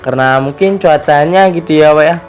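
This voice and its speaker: A slightly hoarse man narrates a casual tutorial as host, chatty and fond of repeated verbal tags. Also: fairly high pitch, fairly quick, soft background music.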